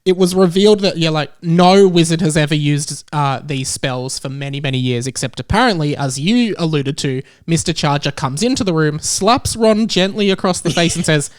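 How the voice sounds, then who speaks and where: A man's voice: fairly high pitch, moderate pace, few pauses, no room sound, studio quality. A young man hosting a casual comedic podcast, chatting in a jokey, bantering way. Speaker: podcast host.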